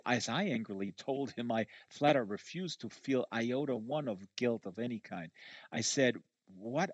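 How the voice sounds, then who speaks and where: A dull, lo-fi recording with almost no room sound carries a man's voice. He reads a first-person novel aloud with an expressive, conversational delivery and a medium pitch. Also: no room sound. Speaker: audiobook reader. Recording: dull, lo-fi audio.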